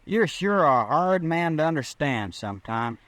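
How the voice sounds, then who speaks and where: A man's voice: a little high, sing-song, measured pace, a bit bright. A man playing a naive, anxious young cowboy sidekick with a folksy, questioning drawl in an old-time radio western. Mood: puzzled.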